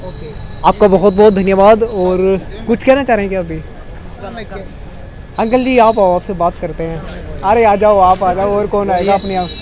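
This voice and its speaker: A man's voice: high-pitched, steady tone, moderate pace, long pauses, a little mellow, low-quality recording. A young man hosting a street vlog, chatting and prompting passers-by with questions. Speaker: YouTuber interviewer. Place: busy street outdoors.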